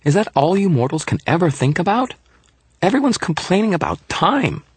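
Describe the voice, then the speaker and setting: A tiny male fairy from a fantasy audiobook, pitched well above the narrator's natural voice. He sounds like a haughty, greedy little kid, indignant and grandiose, forever complaining. The recording is close and dry. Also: sing-song, a little fast, breathy edge, dry recording, exaggerated voice. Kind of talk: audiobook character voice.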